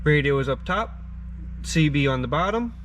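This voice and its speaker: A man's voice: fairly high pitch, expressive, moderate pace, a bit bright. Man explaining a DIY job over noise. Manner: plain-spoken, step-by-step instructing. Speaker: host of a DIY garage channel. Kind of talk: casual how-to narration while working.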